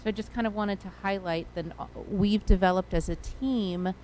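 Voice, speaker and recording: A woman's voice: mid-range voice, steady tone, normal speed, a little mellow, slight background noise. A woman giving a communications committee update at a board meeting in a casual way, full of "um" and "kind of". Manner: casual, with many fillers and hedges like "kind of".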